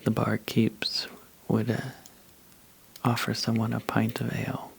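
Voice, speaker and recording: A man telling a sleepy ASMR bedtime story in a soft, breathy half-whisper, halting and drowsy, with long pauses. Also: fairly low pitch, monotone, slight background noise.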